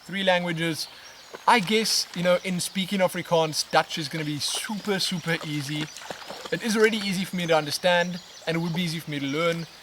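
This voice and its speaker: A man, casually answering viewer questions in a relaxed, expressive, rambling way as a vlog host. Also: on the high side, a little fast. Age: 20s. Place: outdoors on a riverbank in woodland. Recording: outdoor natural background noise, birds. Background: outdoor ambience with birds.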